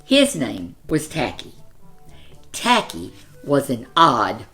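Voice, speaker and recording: A woman reading a children's picture book aloud as a storyteller, with expressive, deliberate, dramatic phrasing. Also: rumbling low, soft background music.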